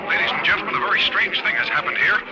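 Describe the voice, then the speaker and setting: A man playing an excited male radio announcer reporting from a helicopter in a 1940s radio drama, with brisk announcer delivery. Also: sits high, heavy background noise, lightly acted.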